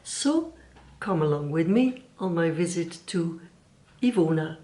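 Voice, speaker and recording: Dutch lady crafts vlogger, friendly, kinda halting w fillers, slowish. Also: fairly low pitch, expressive, dark tone. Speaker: YouTube crafts channel host. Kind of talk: casual vlog introduction.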